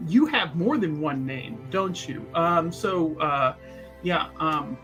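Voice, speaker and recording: A player acts out a flamboyant male mage in a tabletop roleplaying game. The voice is bright and forceful at a middling pitch, tumbling through hesitant, effusive rambles. Music plays softly underneath. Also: lively intonation, slow pace. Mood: flustered, gushing. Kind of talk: improvised in-character tabletop roleplay.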